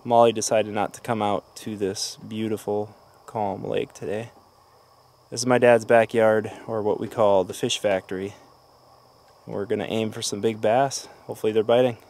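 A man in his thirties hosting a casual fishing vlog, chatting in a relaxed way to an action camera held at arm's length outdoors by a lake. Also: lowish voice, normal speed. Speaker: fishing vlogger.

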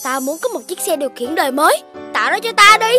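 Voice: very high voice, animated, quick pace. An actor voicing an eager, bratty little puppy boy in a Vietnamese children's cartoon, exclamatory and pouty. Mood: whiny. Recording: music behind.

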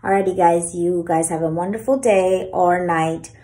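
A woman in her thirties chatting casually through a home hair tutorial, with many fillers and a gentle, way of talking, filmed on a propped phone in a small bedroom. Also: fairly low pitch, fairly even intonation, normal speed. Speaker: beauty vlogger. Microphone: phone camera propped low. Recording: echoey small room.

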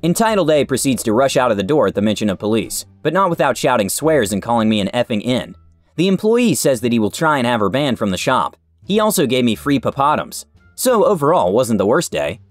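A man narrating forum stories in a steady scripted read. His pitch rises and falls freely. The sound is dry and close, with faint music underneath. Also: no room sound.

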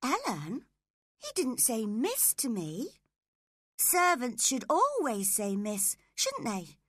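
A woman voicing a spoiled, imperious teenage girl in a storybook reading, with a high, petulant delivery. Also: huge pitch swings, slow pace, fairly bright tone, breathy edge, no echo, studio quality, full character voice. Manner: haughty, petulant, high-pitched.

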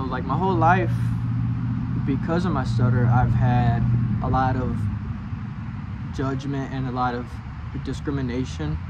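A young man who stutters, with blocks and repeated sounds, talking to camera in a slow, hesitant way under a park pavilion, holding a small mic close. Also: mid-range voice. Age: young adult. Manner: slow, hesitant, many fillers. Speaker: vlogger. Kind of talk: personal talk to camera. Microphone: small handheld mic close to mouth. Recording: outdoor traffic noise.

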